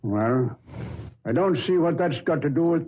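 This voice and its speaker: A man playing a blunt, skeptical policeman in an old radio drama, talking in gruff street slang. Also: on the high side, expressive, normal speed, lightly acted. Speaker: Casey, police officer. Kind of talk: 1940s radio acting. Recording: tinny old radio recording with faint hiss.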